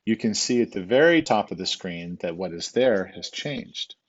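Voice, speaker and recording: A man giving an informal step-by-step software tutorial in a patient, explanatory, conversational way. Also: fairly low pitch, expressive, moderate pace, highs cut off.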